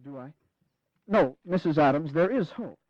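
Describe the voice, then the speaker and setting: A man's voice: a little high, lively intonation, darkish tone, no room sound, lightly acted. A man acting a grave, courtly general, muffled recording. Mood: grave, resolute. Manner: measured, formal, courtly. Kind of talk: dramatized history audio acting. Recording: dull, lo-fi 1980s audio drama.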